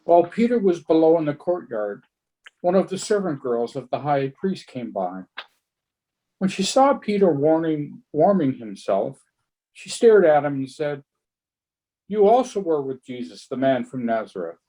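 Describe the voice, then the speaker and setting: A man's voice: fairly high pitch, sing-song, measured pace, mellow, low-quality recording. A man reading scripture aloud as a church member, narrating from a text.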